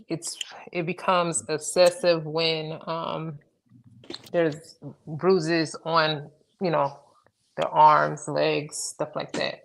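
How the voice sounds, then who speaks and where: Low, gravelly, hesitant woman. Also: low-quality recording. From Georgia, USA. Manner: hesitant, many ums and restarts. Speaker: panelist. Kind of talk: casual panel discussion.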